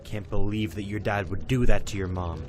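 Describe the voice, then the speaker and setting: A man's voice: lowish voice, steady tone, normal speed, background noise, natural voice. A young man in an amateur audio drama playing a protective, hot-headed teenage boy. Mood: indignant. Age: young adult.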